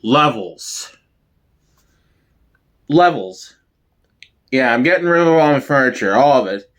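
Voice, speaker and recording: A man's voice: medium pitch, lively intonation, slow pace, a bit bright, voice pushed down. A man voicing an eccentric, overconfident neighbour in a sitcom reading, breezy about his grand schemes. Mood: dreamy confidence.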